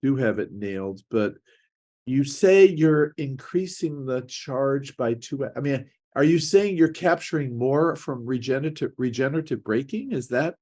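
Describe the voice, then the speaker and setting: A man with a medium-pitched voice speaks as an investor on a pitch panel. His questioning is casual, halting and puzzled. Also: expressive, dark tone. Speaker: investor judge.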